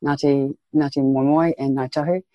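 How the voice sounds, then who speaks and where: A woman's voice: low voice, fairly even intonation, a little fast, dry recording, dull audio. A woman from New Zealand with a New Zealand accent, speaking earnestly as a podcast guest and repeating phrases for emphasis. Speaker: guest, education leader. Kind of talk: podcast interview.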